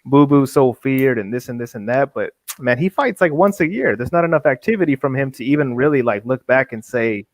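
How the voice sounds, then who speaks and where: A man's voice: mid-range voice, expressive, a little fast, few pauses, no room sound. A man on a podcast panel talking casually about boxing, rambling with lots of fillers. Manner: loose, rambling, filler-heavy. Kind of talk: casual chat.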